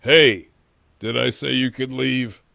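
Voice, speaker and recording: A deeply gravelly male villain, acted by a man for an audio drama, close and dry. He is a swaggering gang leader who drawls slowly, taunting and crude, enjoying his own menace. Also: fairly even intonation, pushed and bright, no room sound, heavily acted. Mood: smug, controlling. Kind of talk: full-cast fan audio drama acting.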